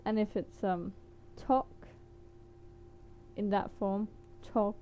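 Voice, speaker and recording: A woman's voice: mid-range voice, steady tone, slow pace, mellow, a little hiss or hum. A woman from Denmark with a Danish accent, teaching words in a casual, hesitant vlog with many fillers and small laughs. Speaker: language teacher vlogger.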